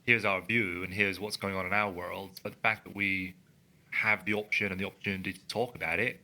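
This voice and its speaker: Man, podcast co-host, low pitch, very bright forceful tone, earnest, emphatic, studio mic. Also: steady tone, a little fast. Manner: earnest, emphatic, thinking aloud. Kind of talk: casual conversational podcast. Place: studio in a building. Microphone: studio microphone.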